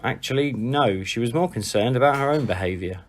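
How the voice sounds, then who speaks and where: A man playing a perceptive, laid-back and quietly frank friend in an audio drama, unhurried and matter-of-fact. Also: mid-range voice, lightly acted.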